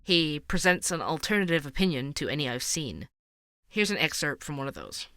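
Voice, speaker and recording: A man's voice: fairly high pitch, sing-song, moderate pace, sharp tone, smooth, dry recording, heavily acted. An actor playing a wry, curious male podcast host, narrating an investigative story in a conversational way. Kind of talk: scripted narration, fiction podcast. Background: music.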